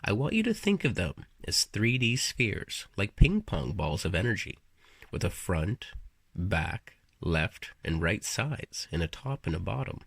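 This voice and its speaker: A man narrating a guided meditation as a story, with deliberate, soothing, hypnotic delivery and storyteller flourishes. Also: lowish voice, very animated, creaky, a bit bright, husky, no echo.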